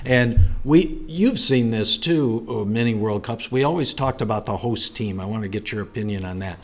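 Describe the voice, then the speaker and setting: A man's voice: lowish voice, moderate pace. A man hosting a casual sports conversation, asking leading questions and echoing his guest's words.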